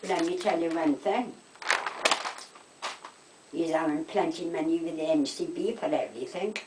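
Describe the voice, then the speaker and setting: An older woman with a South Wales Valleys accent, chatting casually and telling anecdotes in an animated way. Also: sits low, moderate pace, background noise. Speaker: storytelling interviewee. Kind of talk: casual chat, personal anecdotes. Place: indoors at a house. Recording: roomy echo, distant microphone.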